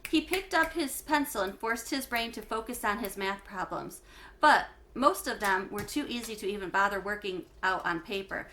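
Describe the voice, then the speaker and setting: A woman reading a children's book aloud like a teacher to schoolchildren, with expressive phrasing and emphasis on key words. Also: medium pitch, slight background noise. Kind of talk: read-aloud storytelling for schoolchildren.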